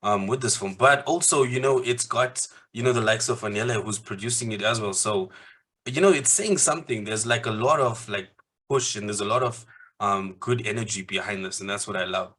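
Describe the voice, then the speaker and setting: Bright-voiced South African radio host. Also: male voice, lowish voice, brisk. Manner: casual, enthusiastic, laughs along. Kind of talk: radio interview, casual. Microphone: radio studio mic.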